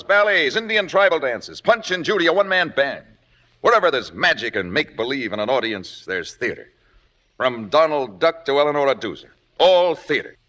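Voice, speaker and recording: A man's voice: medium pitch, pushed and bright, exaggerated voice. An American man playing a brash, opinionated young theater director in old radio drama style, emphatic and wry. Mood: fiery, lecturing. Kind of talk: 1950s radio drama acting.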